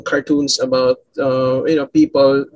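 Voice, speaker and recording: A man with a Filipino accent answering as an interview guest, conversational and halting, circling back over his phrases with frequent fillers. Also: medium pitch, level tone, no room sound, lo-fi sound. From Philippines. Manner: halting, repetitive, frequent "you know" filler.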